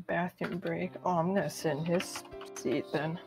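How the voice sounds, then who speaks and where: A woman's voice: lowish voice, fairly even intonation, normal speed, creaky, a little mellow, faint music behind. A woman chatting casually as a player in an improvised game roleplay. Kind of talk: casual gaming roleplay chat.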